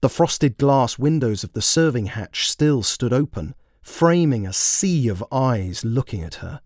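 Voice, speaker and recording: A man's voice: medium pitch, expressive, measured pace, a little mellow, dry recording, clean studio sound. A man reading a scripted short story as its narrator. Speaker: author and narrator.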